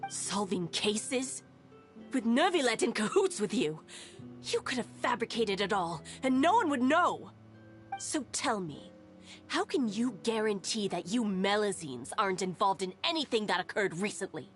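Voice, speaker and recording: A woman voicing a suspicious townswoman who speaks in a sharp, accusing way, over game music. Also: medium pitch, very animated, moderate pace, close to an everyday voice. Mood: hostile. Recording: game audio with loud background music.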